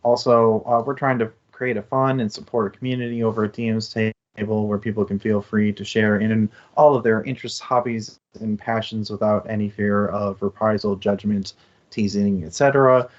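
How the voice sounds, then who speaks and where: A man's voice: fairly low pitch, steady tone, normal speed. A man co-hosting a casual book discussion, speaking in an earnest, thoughtful and reflective way. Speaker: co-host of a book discussion show. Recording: slightly dull, lo-fi sound.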